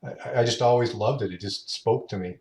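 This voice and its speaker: A man in his late fifties chatting as a podcast guest, talking unhurriedly with halting restarts. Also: medium pitch, gravelly, a little mellow. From Utah. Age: 50s. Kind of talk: casual interview chat. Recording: roomy echo.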